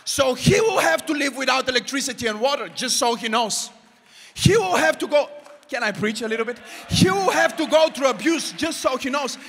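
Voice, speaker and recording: High, bright-voiced young preacher man. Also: expressive, moderate pace, breathy edge. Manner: impassioned preaching, swings from shouts to hushed asides. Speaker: pastor. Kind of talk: sermon. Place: large church auditorium with congregation. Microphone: handheld mic. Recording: long hall reverb from the sanctuary.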